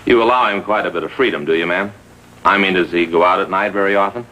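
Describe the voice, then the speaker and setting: A man's voice: sits low, fast talker, sharp tone. A man playing a concerned, courteous American detective in a 1950s police drama, with polite, probing delivery. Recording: noisy vintage TV soundtrack.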